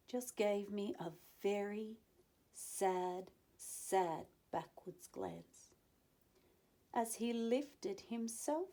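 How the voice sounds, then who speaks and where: A woman reading a children's story aloud expressively, with dramatic pauses and asides to young listeners. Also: mid-range voice, dark tone. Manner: dramatic pauses, drawn-out phrasing.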